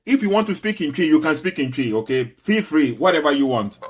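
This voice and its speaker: A man's voice: a little high, sing-song, a little fast, husky. Man pressing with pointed questions. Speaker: host. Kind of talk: talk show interview.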